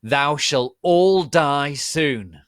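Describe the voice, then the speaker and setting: A man voicing a menacing, megalomaniac dark wizard lord who makes pompous, drawn-out archaic proclamations in a dramatic reading. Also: fairly high pitch, animated, clean tone, no echo, clean studio sound, pitched above the performer's own voice, heavily acted. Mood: menacing, contemptuous.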